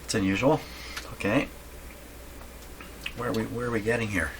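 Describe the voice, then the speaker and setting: A man giving casual hands-on repair narration, halting and thinking aloud to himself as he works. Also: lowish voice, fairly even intonation. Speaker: host of a radio repair channel. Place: indoors at a home workshop. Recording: clearly noisy room recording.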